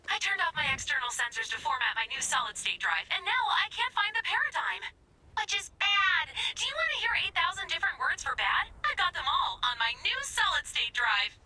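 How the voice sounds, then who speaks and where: A woman's voice: very high-pitched, big pitch swings, a little fast, sharp tone, slight background noise, exaggerated voice, poor audio. A woman voicing a chatty, literal-minded, eccentric robot helper in a video game, with an earnest, over-sharing manner. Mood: apologetic, eager. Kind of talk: scripted video game voice acting. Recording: music under the voice.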